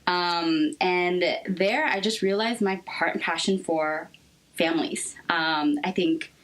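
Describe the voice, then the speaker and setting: A young woman from Seattle, a therapist answering interview questions earnestly, with frequent ums and pauses. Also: fairly low pitch, fairly bright tone, low-quality recording. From Seattle, Washington. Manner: hesitant, many ums, earnest. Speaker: guest therapist.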